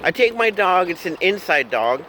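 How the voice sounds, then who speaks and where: A man's voice: sits high, sing-song, normal speed, pushed and bright, slight background noise, poor audio. A man in his fifties chatting in a car in a rambling, joking way, chuckling and humming silly tunes. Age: 50s. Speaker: vlogger. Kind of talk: rambling casual chat.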